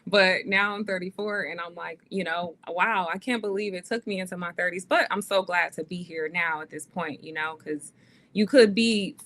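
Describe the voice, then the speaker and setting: A woman's voice: fairly low pitch, steady tone, normal speed, fairly bright tone. A woman in her thirties, a podcast guest, talking earnestly and casually with many fillers. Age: 30s. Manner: earnest, faith-driven, many "um"s and "like"s. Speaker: guest, actress and author.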